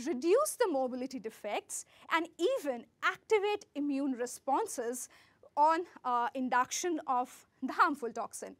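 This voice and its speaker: Woman, grad student, three-minute talk; high, very lively voice, close and dry. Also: dry recording. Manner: earnest, rehearsed, speaks to listener directly. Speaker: graduate student presenter. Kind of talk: timed research talk.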